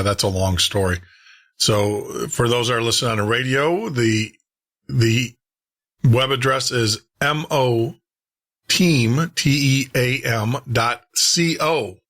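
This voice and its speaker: A man's voice: medium pitch, fairly bright tone. A man hosting a radio show and podcast, talking to listeners in a casual, rambling, chatty monologue.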